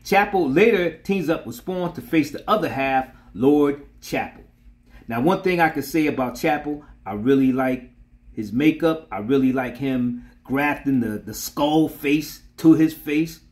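A man's voice: a little high, animated, a little slow, a little mellow. A middle-aged man hosting a comic book channel, narrating and commenting on character history.

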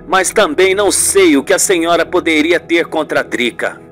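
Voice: medium pitch, moderate pace, fairly bright tone, soft background music, close to an everyday voice. A performer as a confused, earnest grown son. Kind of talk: radio soap opera acting.

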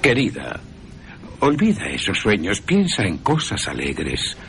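A man's voice: lowish voice, huge pitch swings, a little slow, creaky, a bit bright, a little hiss or hum, voice pushed up, full character voice. A man playing an earnest, protective young fiancé with a solicitous, insistent manner. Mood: concerned.

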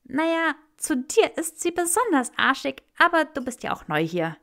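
A woman voicing a chatty, excitable young male rebel in a German audiobook reading of fan fiction. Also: high voice, normal speed, pitched above the performer's own voice, full character voice. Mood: eager gossiping. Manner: excitable, blurting.

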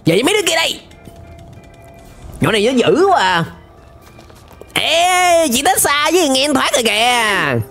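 A man's voice: very high voice, normal speed, gravelly, pauses often. A young man with a southern Vietnamese accent giving casual, exclaiming commentary on a cartoon as he watches it. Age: young adult. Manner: animated, exclaiming. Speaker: reaction streamer. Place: indoor streaming room. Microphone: close desk microphone, headset on. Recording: music playing behind the voice.

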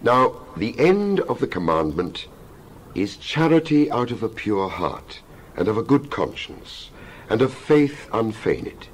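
A man's voice: medium pitch, a little hiss or hum, poor audio. Solemn man reading scripture, widely swooping intonation. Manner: solemn, emphatic, measured oratory. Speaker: Bible narrator. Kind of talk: dramatized scripture reading, King James English.

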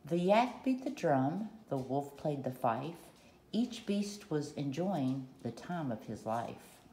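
A woman reading a children's picture book aloud in short, deliberate rhyming phrases, recorded at a table at home. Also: low-pitched, very animated, dark tone. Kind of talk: read-aloud storytelling. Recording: roomy echo, faint background noise.

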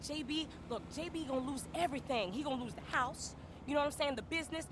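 A woman's voice: high-pitched, fast, natural voice. An actress playing a pushy, exasperated streetwise American woman, slangy and nagging, in a video game cutscene. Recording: noisy street ambience behind voice.

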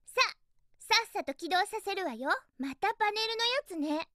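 A woman playing a perky, feisty young female hedgehog heroine in a Japanese video game, girlish, energetic and bossy. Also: extremely high pitch, moderate pace, no room sound, clean studio sound, exaggerated voice. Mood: upbeat, determined.

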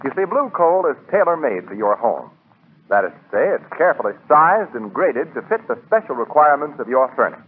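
A man's voice: medium pitch, big pitch swings, fairly quick, breathy edge. A male radio announcer reading a 1940s advertisement with polished, emphatic salesmanship. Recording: tinny 1940s radio recording.

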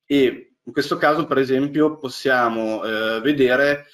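A man who explains slides in an online lecture. His voice sits at an ordinary middle pitch, and he talks in halting bursts, with many fillers and restarts. The sound is a plain webcam mic in a small room. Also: a bit bright. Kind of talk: technical lecture on deep learning. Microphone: webcam on a video call.

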